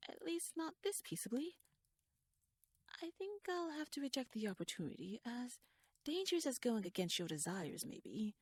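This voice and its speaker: A woman playing a shrewd, teasing royal noblewoman in an ASMR audio roleplay, speaking softly in a wry, courtly, measured way that slips toward a whisper. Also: a little high, husky, full character voice. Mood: cautious, curious.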